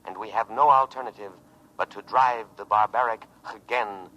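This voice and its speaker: Male war-machine computer, low pitch, pompous measured delivery, paranoid calm, old muffled radio drama sound. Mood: solemnly consoling. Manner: solemn, measured, grandiose oratory. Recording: muffled vintage radio broadcast recording.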